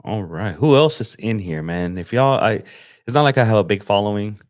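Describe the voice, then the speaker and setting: A young man from Los Angeles chatting casually on a livestream as he edits photos, relaxed and slangy, thinking aloud with fillers. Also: lowish voice, normal speed, no room sound, clean studio sound. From Los Angeles, USA. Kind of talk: casual livestream chat while editing. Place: home desk setup.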